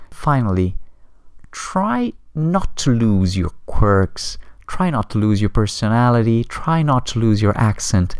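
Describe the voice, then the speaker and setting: A man giving a scripted lesson as a presentation instructor, with deliberate, emphatic phrasing and pauses. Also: on the low side, a little mellow, dry recording, studio quality.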